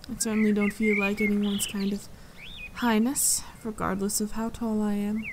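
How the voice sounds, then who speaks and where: A woman playing a regal, dryly amused and protective fae leader in an intimate ASMR fantasy roleplay, speaking in a measured, composed, gently condescending way. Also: medium pitch, level tone, background noise, lightly acted. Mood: composed, wry. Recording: forest ambience with birdsong. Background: birdsong and forest sounds.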